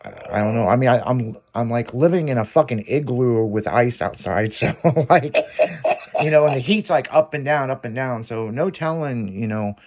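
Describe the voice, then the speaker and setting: A man's voice: medium pitch, normal speed, a little mellow. A man hosting a radio show, chatting casually, rambling and swearing, trailing off with fillers. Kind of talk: casual on-air chat.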